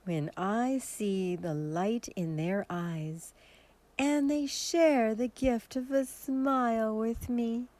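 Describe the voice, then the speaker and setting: A woman playing a kind, gentle shelter worker in a radio drama, speaking in an unhurried way. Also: fairly high pitch, no room sound. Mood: gentle, caring.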